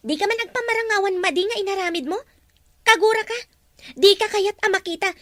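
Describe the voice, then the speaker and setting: A woman's voice: very high-pitched, a little fast, a bit bright, no room sound, studio quality. A woman voicing a young female student, hurt and stubbornly aggrieved, in an audio drama. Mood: annoyed, stubborn. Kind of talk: Filipino audio drama dialogue in Ilocano.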